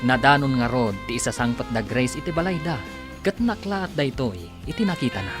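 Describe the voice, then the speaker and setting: Guy announcing a radio drama, dramatic swinging delivery, music clearly playing behind him. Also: mid-range voice, animated, a little fast. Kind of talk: dramatic scripted narration.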